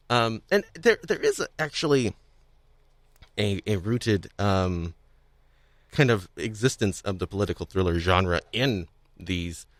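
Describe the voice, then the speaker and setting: A man hosting a podcast, talking casually about audio dramas in a halting, hedging way and often chuckling. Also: low voice, a bit bright, no echo. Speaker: podcast host.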